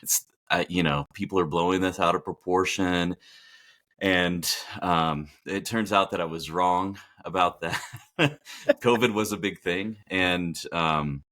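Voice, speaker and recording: A man with a very deep voice, a hospital chaplain who grew up in Texas. He talks in an unhurried, reflective way, circling back and restarting his sentences as he thinks aloud in a podcast interview. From Texas, Dallas area. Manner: relaxed and reflective, many fillers and restarts. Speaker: hospital palliative care chaplain, podcast guest. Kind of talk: casual interview talk.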